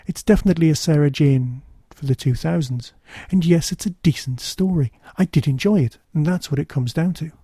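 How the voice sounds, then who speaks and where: A man's voice: on the high side, brisk, few pauses, dark tone, husky, dry recording. A man hosting a podcast, giving an informal spoken review in a wry, conversational way.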